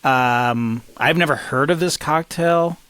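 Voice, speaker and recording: A man's voice: on the high side, slow, fairly bright tone, clean tone, no room sound. A middle-aged man chatting casually as an agreeable, amused podcast co-host. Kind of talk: casual chat.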